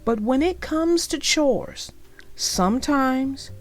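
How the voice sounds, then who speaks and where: A woman's voice: high voice, expressive, slow. A woman narrating a children's storybook as a read-aloud for young listeners.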